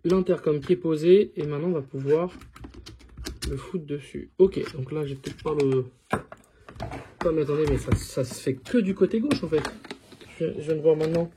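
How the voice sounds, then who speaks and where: A man's voice: on the high side, noisy background. A man presenting a product in a casual hands-on tutorial, informal and thinking aloud as he goes.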